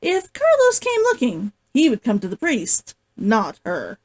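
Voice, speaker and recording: High-pitched, animated woman hosting a read-along. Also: a little slow. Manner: giggly, gushing, expressive. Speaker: host, author reading along. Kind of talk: casual livestream chat and novel read-aloud.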